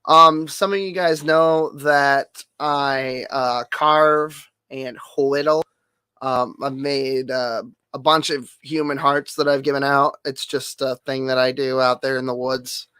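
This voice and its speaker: Nasal, hoarse man rambling casually. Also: medium pitch, steady tone, takes it slowly, bright, forceful tone, no room sound. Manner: rambling, swears casually, many fillers. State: hoarse, losing voice. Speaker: streamer.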